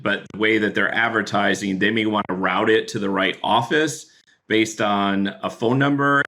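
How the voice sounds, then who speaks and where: Man, low voice, gravelly, podcast guest, relaxed explaining, lots of fillers. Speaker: guest, chief product officer. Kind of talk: explaining a product in a podcast interview.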